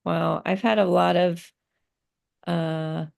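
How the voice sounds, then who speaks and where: A middle-aged woman answering interview questions about teaching on a video call, speaking thoughtfully with many pauses and fillers. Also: on the low side, steady tone, vocal fry. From grew up in Thailand.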